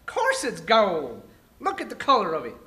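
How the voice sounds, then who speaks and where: A man playing an excitable, crusty old prospector with folksy Old West talk in an amateur radio play. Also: high voice, normal speed, slight background noise, exaggerated voice. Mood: generous, eager.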